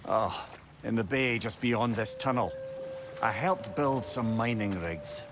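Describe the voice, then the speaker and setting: An actor playing a wry, learned old severed-head advisor in a video game, articulate and wordy. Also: male voice, fairly low pitch, takes it slowly. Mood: explaining, regretful. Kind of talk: dramatic video game acting. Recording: game music under the voice.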